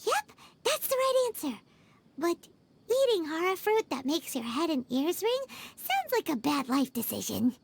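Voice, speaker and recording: Extremely squeaky, excitable tiny fairy companion. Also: female voice, normal speed, vocal fry, full character voice. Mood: relieved, musing. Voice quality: squeaky, piping, childlike. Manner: exclamatory, speaks of self in third person. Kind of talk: video game voice acting.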